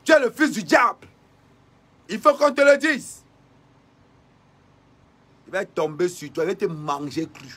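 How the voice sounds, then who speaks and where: Husky high-voiced man ranting. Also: sing-song, unhurried, long pauses. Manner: repetitive preacher-like cadence with shouted bursts. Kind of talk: impassioned denunciation.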